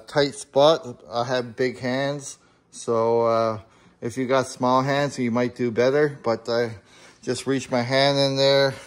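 A man explaining a do-it-yourself repair in a casual, unscripted, halting and plain-spoken way, recorded in a home garage on a handheld camera. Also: mid-range voice. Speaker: amateur DIY car repair video maker.

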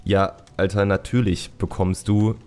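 A man's voice: sits low, level tone, a little slow, a little mellow, smooth. A man hosting a podcast in casual, candid conversation, speaking colloquially and repeating words for emphasis. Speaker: podcast host and YouTuber.

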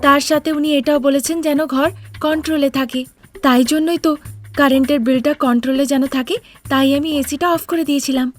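A woman's voice: high-pitched, steady tone, fast, faint music behind, voice pushed up, lightly acted. A woman voicing an earnest, stubborn young daughter-in-law in a cartoon story, pleading yet firm as she argues. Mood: explaining. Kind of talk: Bengali cartoon story acting.

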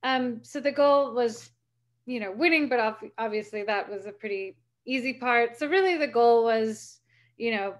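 Young woman, higher pitch, rambling casual report over webcam. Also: normal speed. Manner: rambling, many fillers and restarts. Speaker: campaign volunteer reporting back. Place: home room. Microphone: laptop webcam video call.

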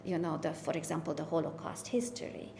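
A young woman moderating a panel discussion, asking questions with many "uh" fillers and a voice that trails off quietly. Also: deep voice, a little fast, vocal fry. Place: art gallery room. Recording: reverberant hall, faint noise.

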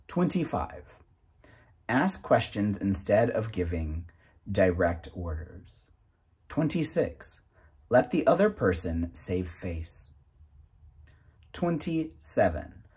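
A man in his thirties reading a self-help booklet aloud in a deliberate, item-by-item way with pauses. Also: lowish voice, a little mellow, breathy edge. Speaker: reader.

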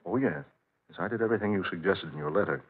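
A man's voice: sits low, fast talker, slightly rough. An actor playing a hearty, bluff family man visiting an old friend, chummy and sputtering, in 1940s radio drama style. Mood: chatty, bluff. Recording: tinny, noisy old radio recording.

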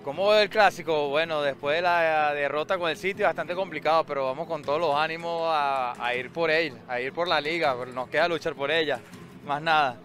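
A man's voice: on the high side, a little fast, a bit bright, soft background music. A man, a football fan, giving a short casual street interview outdoors.

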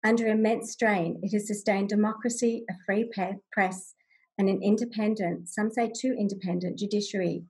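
A middle-aged woman gives a formal scripted reading over a video call. Her voice sits at an average pitch with a slightly rough, husky grain, and her phrasing is measured and solemn. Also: fairly even intonation, a little mellow, rough recording. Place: furnished room at home, indoors. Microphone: video call, computer microphone.

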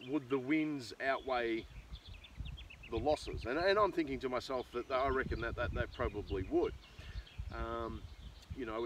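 A man's voice: medium pitch, fairly bright tone. Australian man rambling outdoors, off-mic. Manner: rambling, thinking aloud. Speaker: farmer and video host. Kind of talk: casual unscripted vlog talk. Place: outdoors in an open rural pasture. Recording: distant outdoor pickup with ambient noise.

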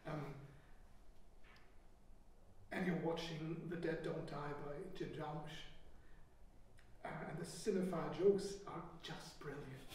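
Male interviewer, higher-pitched voice, very echoey, off-mic. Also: steady tone, slow pace, pauses often, darkish tone. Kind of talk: film interview questions. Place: indoor room, away from the mic. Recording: echoey, distant, noisy off-mic pickup.

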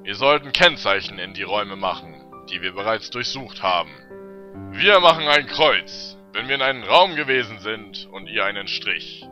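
A man's voice: lowish voice, expressive, moderate pace, faint music behind, lightly acted. A man playing a decisive, commanding leader of fantasy adventurers in an audio drama. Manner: decisive, instructing. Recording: muffled lo-fi amateur recording.

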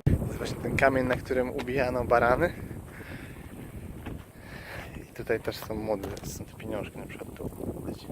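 A man giving casual, halting travel vlog commentary outdoors, often searching for his words. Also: medium pitch, fairly even intonation. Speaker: travel vlogger. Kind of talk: casual vlog commentary while showing sights. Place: outdoors by a lake and stream. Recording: loud wind and water noise outdoors. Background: wind, water noise.

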